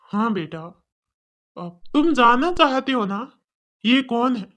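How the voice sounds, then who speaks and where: Lady doing an older regretful dad in a cartoon story, earnest n respectful, slightly high for the part. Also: sing-song, takes it slowly, fairly bright tone, full character voice. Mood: solemn. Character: older fathers, humble, regretful, gently moralizing men.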